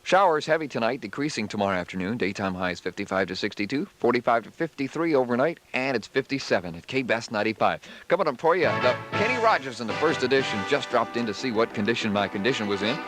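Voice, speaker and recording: A man's voice: medium pitch, lively intonation, fast talker, few pauses, fairly bright tone. An American man working as a radio DJ, delivering music patter and news in a 1970s style. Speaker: radio DJ and newsreader.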